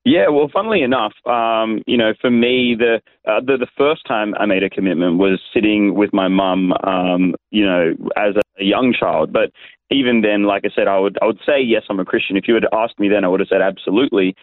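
A man's voice: lowish voice, brisk, dry recording. Australian man on a tinny phone-like line. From Sydney, Australia. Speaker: interview guest, youth pastor. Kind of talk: casual conversational storytelling.

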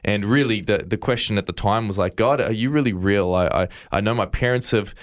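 A man's voice: fairly low pitch, fairly quick. A man with an Australian accent, a guest in an interview, earnestly recounting his faith story with frequent stammered restarts. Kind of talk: interview, personal testimony.

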